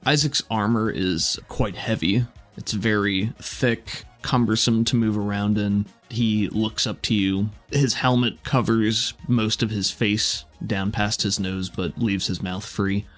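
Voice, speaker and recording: A man's voice: on the low side, steady tone, measured pace, faint music behind. A man acting as game master, narrating a tabletop roleplaying game in a casual, descriptive way.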